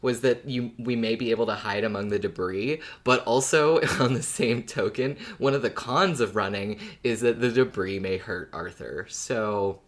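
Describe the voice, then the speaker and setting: Podcast co-host with a medium-pitched voice. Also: moderate pace, few pauses. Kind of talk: casual podcast discussion.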